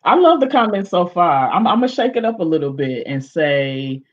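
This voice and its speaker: A woman panelist with a big, expressive voice, speaking emphatically and directly on a video call, often restarting with fillers. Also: fairly low pitch, normal speed. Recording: dull lo-fi video call audio.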